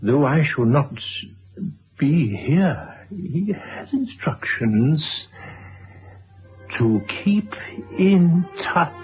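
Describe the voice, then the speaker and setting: An actor playing a courteous, resolute former schoolmaster in a vintage radio drama, formal and measured. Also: male voice, fairly high pitch, big pitch swings, over music, heavily acted. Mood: grave, resigned. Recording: dull, faintly noisy old radio recording.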